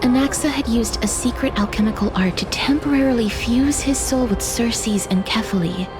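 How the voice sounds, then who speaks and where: A woman playing a gentle, earnest young adventurer in a video game, explaining things in a soft, solemn, thoughtful way. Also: fairly high pitch, normal speed, close to an everyday voice. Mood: solemn, pensive. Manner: soft, solemn, breathy sighs. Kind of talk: video game dialogue, expository. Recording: music behind the voice.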